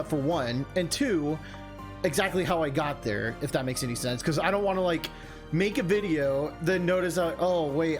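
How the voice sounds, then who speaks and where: Man, slightly high-pitched voice, casual let's-play commentary, rambling, enthusiastic asides, prominent game music behind. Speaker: video game streamer and host. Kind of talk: casual, chatty let's-play commentary with some read-aloud game text. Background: game background music.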